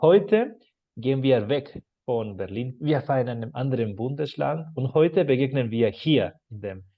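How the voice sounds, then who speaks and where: A man's voice: medium pitch, animated. Male podcast host, muffled audio. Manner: measured, welcoming questions. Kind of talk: podcast interview. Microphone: video call. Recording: dull, lo-fi sound.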